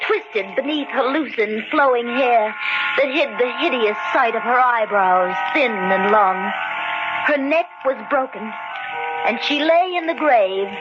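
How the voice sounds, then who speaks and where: Woman acting a high-voiced, haunted radio drama heroine. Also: measured pace, loud music behind the voice, lightly acted. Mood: haunted, grim. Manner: intense, melodramatic. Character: frightened but defiant young woman, haunted heroine. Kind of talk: old-time radio dramatic acting and narration. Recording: muffled old radio recording.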